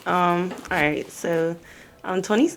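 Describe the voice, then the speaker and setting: A woman's voice: fairly low pitch, slow pace, gravelly, slight background noise. A woman in her twenties from the Pittsburgh area, a podcast guest who talks in a chatty, candid, self-deprecating way. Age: 20s. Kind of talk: casual interview chat.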